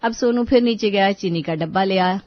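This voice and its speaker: A woman's voice: medium pitch, a little fast, dry recording, dull audio. A woman narrating a scripted story as a storyteller.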